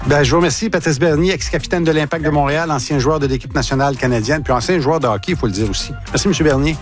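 A man's voice: on the high side, rapid-fire, faint music behind. A man with a Québécois French accent hosting a radio interview, asking long, rambling questions. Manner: asks long questions with restarts. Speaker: radio host.

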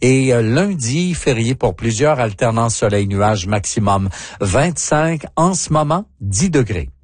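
A man's voice: mid-range voice, expressive, measured pace, no room sound. A man with a Canadian French accent giving a live, partly ad-libbed traffic and weather report on the radio.

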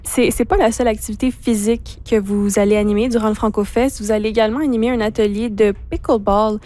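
A woman hosting a radio interview from the studio, asking questions clearly. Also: fairly high pitch, fairly even intonation, fast talker, mellow. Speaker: radio host.

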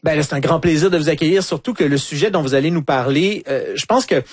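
A man's voice: on the high side, quick pace, slightly rough, no room sound. A man with a Quebec French accent hosting a live conversational radio show, emphatic and fond of repeating words.

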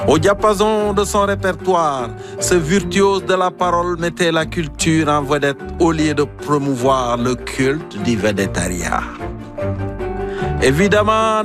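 A man with an African accent, hosting a broadcast and speaking in a bright, somewhat high voice. His delivery is lively and rhythmic, with a poetic cadence. Music plays clearly underneath him. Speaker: host. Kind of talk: radio show hosting and scripted closing narration.

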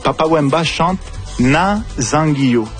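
A man's voice: a little high, slow, faint music behind. Man hosting a radio show. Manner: warm introductions, inquisitive follow-up questions. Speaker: radio host.

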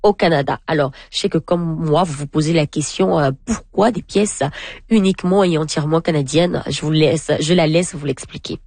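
A woman arts reporter giving a live, conversational news report, with halting phrasing and emphasis on key points. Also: low voice, darkish tone, no room sound.

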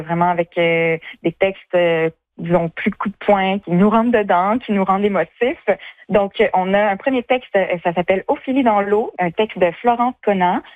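A woman's voice: fairly low pitch, no room sound. A woman from Quebec with a Quebec French accent, answering casually in an interview as a festival's artistic director. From Quebec, Canada. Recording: tinny phone-like line.

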